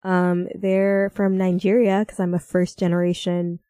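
A woman's voice: on the low side, flat delivery, unhurried, warm tone, clean tone, no room sound. Young American woman, podcast co-host, playful and rambling, giggly, dry close sound. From Minnesota, United States. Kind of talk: casual chat.

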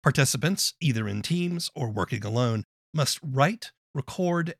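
A man reading a scripted podcast introduction as the producer, in a measured, polite and formal way. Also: mid-range voice, vocal fry, no room sound, clean studio sound. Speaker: audio drama producer introducing an episode.

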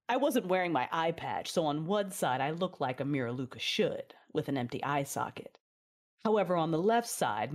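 A woman playing an intense, brooding young Jedi who narrates her own story as a dramatic audiobook. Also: low voice. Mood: reflective.

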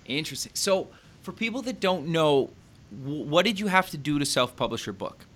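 A man's voice: on the high side, sing-song, normal speed, a bit bright. A man hosting a podcast interview, chatting casually and friendly, with many fillers and restarts. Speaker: podcast host.

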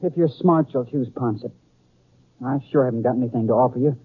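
Actor as a husky, humble frontier suitor. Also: male voice, mid-range voice, a little fast, lightly acted. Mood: glum, noble. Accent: American. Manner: earnest, downcast, plain-spoken. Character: self-deprecating, earnest small-town frontier newspaperman suitor. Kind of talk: 1950s radio western acting. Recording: muffled, narrow-band 1950s radio recording.

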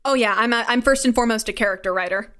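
A woman's voice: a little high, very fast, a bit bright. A young woman speaking conversationally in an interview as a writer and creator. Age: young adult. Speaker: audio drama writer and creator.

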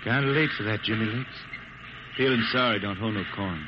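Actor as folksy American space drifter, noisy old radio. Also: male voice, fairly low pitch, brisk, lo-fi sound, lightly acted. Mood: wry, bitter. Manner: folksy rural speech, wry. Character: gruff folksy male spaceship crewman turned blind wandering singer. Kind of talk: 1950s radio drama acting.

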